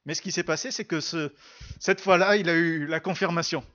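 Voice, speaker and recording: A man's voice: on the high side, brisk, a bit bright, lo-fi sound. A man preaching a church sermon, telling and teaching in an earnest, emphatic way and repeating phrases for stress.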